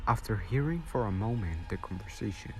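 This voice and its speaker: A man's voice: on the low side, animated, brisk, background noise. A man giving dramatic scripted narration for a radio drama.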